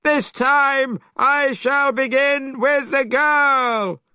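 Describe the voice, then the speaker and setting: A booming monster king, fire eyes, menacing, super high and slow. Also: fairly even intonation, bright, forceful tone, dry recording, clean studio sound, heavily acted. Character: horrible male monster king, fire-eyed, child-eating.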